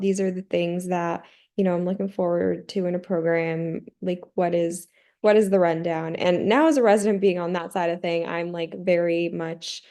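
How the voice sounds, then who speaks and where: A woman's voice: medium pitch, vocal fry, dark tone. A young woman, an emergency medicine resident on a video call, giving candid, emphatic advice to applicants on a webinar panel.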